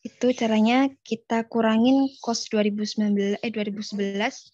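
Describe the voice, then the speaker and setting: A woman's voice: a little high, fairly even intonation, a little fast, darkish tone, no echo. A young woman student, giving short answers and explaining steps. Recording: muffled, dry call audio.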